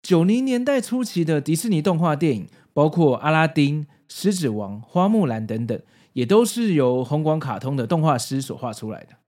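A man's voice: fairly high pitch, big pitch swings, normal speed, few pauses, a little mellow. A man with a Taiwanese Mandarin accent, hosting a podcast with narration and casual commentary. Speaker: podcast host.

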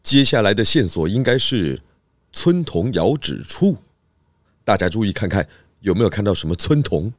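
A man playing a clever, methodical pig detective who explains deliberately in a children's audio drama. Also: fairly low pitch, very animated, no echo, clean studio sound.

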